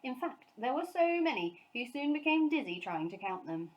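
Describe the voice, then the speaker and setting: A woman's voice: sits high, sing-song, moderate pace, rough recording. A woman reading a children's storybook aloud as scripted narration. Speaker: storybook reader, teacher.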